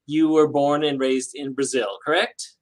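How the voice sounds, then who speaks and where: A woman hosting a talk show, asking questions in an encouraging, halting, conversational manner. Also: deep voice, fairly even intonation. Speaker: talk show host interviewer. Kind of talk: unhurried conversational interviewing.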